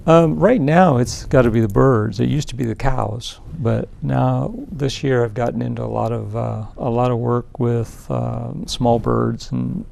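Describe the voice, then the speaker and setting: Older man with a gravelly dark voice. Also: mid-range voice, a little slow, hardly any pauses. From Indiana. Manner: relaxed, thoughtful, with many fillers. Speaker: artist guest. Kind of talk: casual interview talk. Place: small TV studio. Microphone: lapel mic.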